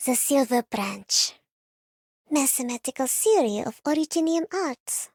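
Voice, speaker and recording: A woman's voice: on the high side, darkish tone, dry recording, clean studio sound. A woman voicing a bookish, thoughtful young scholar in a video game, reflective and formal. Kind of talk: video game character voice lines.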